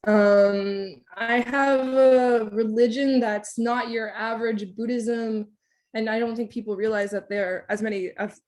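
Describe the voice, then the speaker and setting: A woman's voice: a little high, fairly even intonation, a little slow, darkish tone. Candid young woman giving a lecture. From United States. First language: Thai. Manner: casual and candid, many ums and likes, light laughs. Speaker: staff researcher giving a lecture. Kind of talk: personal talk in a lecture series.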